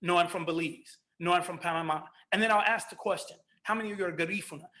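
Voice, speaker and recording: A middle-aged man from the United States moderating a panel discussion, a college history teacher who tells stories with lively restarts. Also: high-pitched, fast talker.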